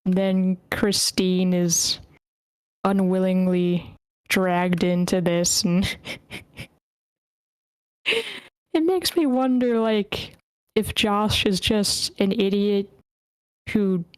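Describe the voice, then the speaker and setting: A woman's voice: medium pitch, drawn-out words, long pauses, clean tone, no echo, poor audio. A woman hosting an online script table read, chatting casually in an informal, playful way. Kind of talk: casual chat, moderating an online meeting. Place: online voice meeting, dry close sound.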